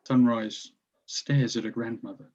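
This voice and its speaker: A man's voice: mid-range voice, fairly even intonation, measured pace, a little mellow. Male screenplay narrator on slightly dull audio. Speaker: stage-direction narrator.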